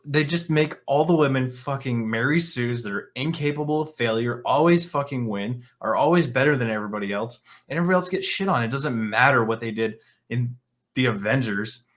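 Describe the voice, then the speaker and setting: A man's voice: mid-range voice, fairly quick. Sarcastic, blunt man hosting a commentary show. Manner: sarcastic, scornful, blunt and profane. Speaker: online commentary show host. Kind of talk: opinionated commentary, reading articles aloud and reacting.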